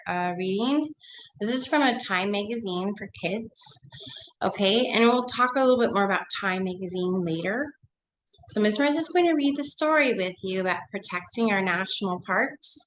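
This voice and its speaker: A woman's voice: medium pitch, fairly bright tone, low-quality recording. Teacher, female, congested, losing her voice; chatty online read-along with a faint hiss behind. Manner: chatty, coaxing, asks the class questions. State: nasal from a cold, losing her voice.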